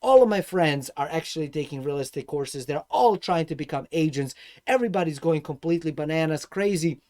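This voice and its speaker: A middle-aged man with a Serbian accent, telling personal stories as an informal course instructor in an emphatic, animated way, with rhetorical questions. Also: on the high side, fairly quick. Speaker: host and instructor of an investing course. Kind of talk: informal personal-story lecture to viewers.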